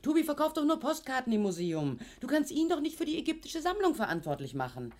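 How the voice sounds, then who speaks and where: An actress playing a gentle, protective middle-aged mother in a German satirical radio play, by turns soothing and chiding. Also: high voice, big pitch swings, fast talker. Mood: reproachful.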